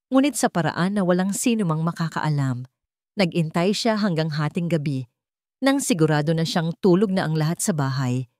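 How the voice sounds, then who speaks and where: A woman's voice: fairly low pitch, darkish tone, no room sound, clean studio sound. A woman reading a scripted dramatic story as its narrator.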